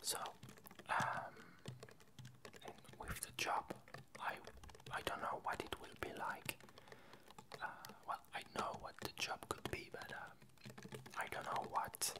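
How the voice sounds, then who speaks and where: Young french-accented woman whispering asmr, really slow, lots of hesitant pauses. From France. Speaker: ASMR video creator.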